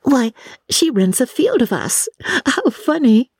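A woman's voice: high-pitched, very animated, slow pace, darkish tone, breathy edge, no echo, clean studio sound, pitched above the performer's own voice, heavily acted. A woman voicing a naive, polite young English lady in a novel, eager, proper and a little flustered. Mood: polite, modest.

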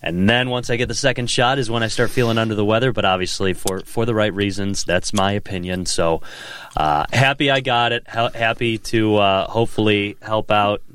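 A man's voice: on the low side, fairly even intonation, moderate pace, fairly bright tone, a little hiss or hum. A man co-hosting a podcast, talking sports in a loose, rambling way and repeating words as he thinks. He sounds stuffed up from a cold. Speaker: podcast co-host. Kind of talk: casual sports chat.

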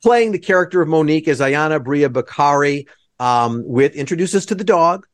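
A man's voice: a little high, animated, brisk. A man hosting a theater podcast, enthusiastic and rambling as he introduces his guests. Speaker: podcast host. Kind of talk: casual interview.

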